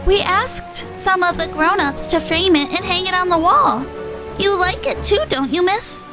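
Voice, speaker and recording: A woman's voice: very high voice, animated, unhurried, music in the background, voice pushed up. A woman voicing a sweet young child in a video game, earnest and full of wonder.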